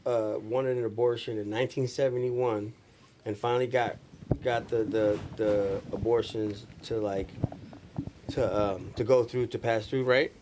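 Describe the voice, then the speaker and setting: A man's voice: mid-range voice, fairly even intonation, a little slow. Casual, slangy man in a noisy room. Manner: slangy, says "like" and "you know" often. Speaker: podcast guest, recording studio engineer. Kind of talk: casual chat. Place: small room with couches, indoors.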